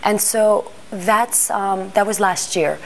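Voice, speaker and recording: A woman's voice: mid-range voice, slow, a little hiss or hum. A middle-aged woman from Iran, raised in Greece and the US, speaking thoughtfully in an interview, with frequent restarts and fillers as she searches for words. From Iran, raised in Greece and Washington D.C. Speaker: art fair panel organizer. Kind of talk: interview monologue.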